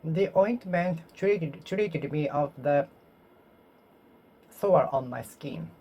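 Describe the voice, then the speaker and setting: Slow, halting English learner. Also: medium pitch, husky, lo-fi sound. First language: Japanese. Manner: repeats phrases, halting and self-correcting. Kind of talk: solo speaking practice, talking to oneself.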